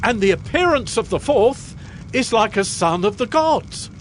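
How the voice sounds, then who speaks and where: An actor playing a proud, commanding king of Babylon in a dramatized audio Bible, declaiming in an imperious, measured way. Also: high voice, expressive, a little hiss or hum. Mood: astonished. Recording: music behind the voice.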